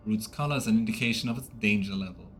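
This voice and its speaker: A man's voice: fairly low pitch, quick pace, soft background music. A man giving casual let's play commentary as he streams a game, talking to himself and his viewers.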